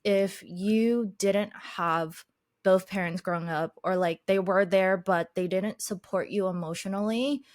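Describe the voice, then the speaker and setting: A woman guest telling her life story in an interview, reflective and unhurried, whose first language is Chinese. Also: mid-range voice, steady tone, dry recording, clean studio sound. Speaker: podcast guest, life coach.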